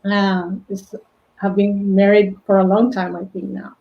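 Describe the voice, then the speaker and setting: Colombian lady in her 40s, slow and halting, lotsa ums, kinda muffled lo-fi audio. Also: mid-range voice, level tone. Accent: Colombian Spanish. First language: Spanish. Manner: halting, many fillers and restarts. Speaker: guest, fashion stylist. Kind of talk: casual interview chat. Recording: dull lo-fi audio.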